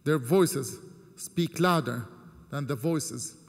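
A man in his thirties from Jordan giving a formal prepared speech from a podium, measured and earnest, with deliberate pauses between phrases. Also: a little high. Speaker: keynote speaker. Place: large ornate hall with seated audience. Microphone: podium microphone. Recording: long hall reverb.

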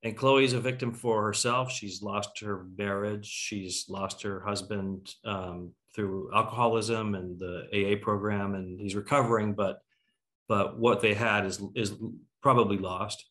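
A man's voice: lowish voice, steady tone, measured pace, sharp tone. A middle-aged man hosting a casual panel on a video call, musing aloud as he works toward his questions. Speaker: talkback host. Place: indoor room on a video call. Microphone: video call computer microphone.

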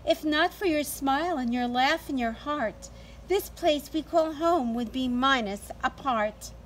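Middle aged lady reading to little kids outside, really slow and expressive, bit of outdoor noise. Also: sits high, low-quality recording. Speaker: teacher reading to children. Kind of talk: read-aloud storytime for young children. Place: outdoors in a sunny backyard.

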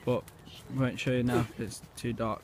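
A man's voice: medium pitch, steady tone, normal speed. Teen boy rambling on a phone outdoors. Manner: rambling, filler-heavy, hushed at night. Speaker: vlogger. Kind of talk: casual camping vlog. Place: outdoors, woods by a lake. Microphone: handheld phone. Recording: phone outdoors with wind noise.

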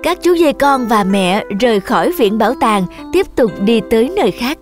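A woman's voice: a little high, lively intonation, normal speed. A woman narrator reading a scripted children's story. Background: music.

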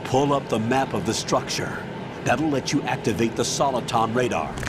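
An actor playing a stern middle-aged colonel in a video game, giving clipped, authoritative mission briefings. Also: male voice, mid-range voice, normal speed, noisy background, natural voice. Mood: explanatory. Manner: authoritative, clipped, matter-of-fact. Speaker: commanding officer. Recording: background music under the voice. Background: game music.

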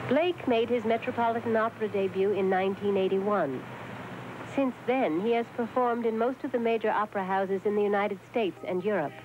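A woman giving scripted documentary narration in an even, informative manner. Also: medium pitch, a little fast, noisy background. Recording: dull lo-fi tape with music behind.

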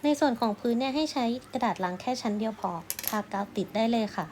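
A woman's voice: fairly high pitch, animated, fast, a little mellow, a little hiss or hum. A woman art teacher narrating a craft tutorial step by step in a polite, instructional way.

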